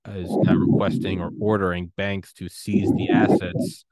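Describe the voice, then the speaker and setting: A man's voice: low-pitched, level tone, a little slow, dark tone, dry recording. A man hosting a podcast interview, talking in a crude, joking way. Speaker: podcast host.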